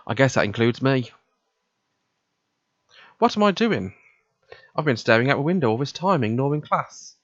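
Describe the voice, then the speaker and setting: A man with a mid-pitched voice hosts a let's play in a casual, slightly halting way. The sound is dull and very dry, like a simple home microphone. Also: very animated, no echo. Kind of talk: reading visual novel narration aloud, casual commentary.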